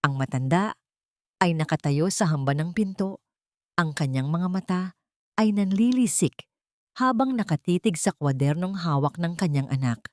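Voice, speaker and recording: A woman's voice: lowish voice, animated, normal speed, darkish tone, dry recording, clean studio sound. A woman reading scripted dramatic story narration in a solemn, suspenseful manner. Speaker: narrator of audio drama stories.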